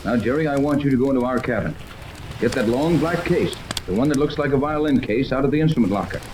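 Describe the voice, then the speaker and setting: Actor as confident radio-serial captain, echoey old recording. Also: male voice, mid-range voice, animated, fast talker, background noise, lightly acted. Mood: commanding. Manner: commanding, explanatory. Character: confident adult male yacht captain and earnest inventor. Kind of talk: 1930s radio serial acting.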